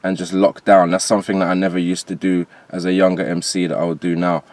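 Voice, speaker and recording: A man's voice: low-pitched, level tone, quick pace, a little mellow, no echo. A man from Jamaica with a transatlantic accent, talking casually and in slang as a rapper guest in an interview. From Jamaica, later moved to England. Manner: conversational, slangy.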